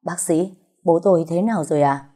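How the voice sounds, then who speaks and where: Woman doing a mild, placating husband, low voice. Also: fairly quick, close to an everyday voice. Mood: worried. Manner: soft scolding, conciliatory. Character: mild, timid middle-aged husband, placating his family.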